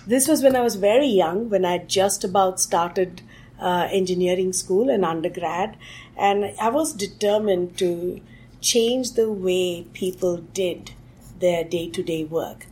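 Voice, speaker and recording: A woman from India, a technology executive answering interview questions thoughtfully and often pausing on "uh". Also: on the low side, mellow, slightly rough, rough recording. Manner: thoughtful, with frequent "uh" fillers.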